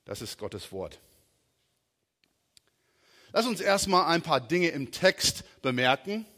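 American man preaching haltingly in a reverberant hall. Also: a little high, big pitch swings, bright, forceful tone. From southern and western United States. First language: English. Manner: halting, searching for words, informal. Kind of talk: sermon. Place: large reverberant room, preaching to a congregation.

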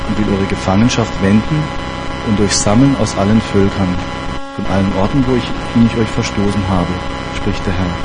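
Low-voiced man reading scripture over music. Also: poor audio. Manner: steady, measured recitation. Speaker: scripture reader. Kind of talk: Bible reading.

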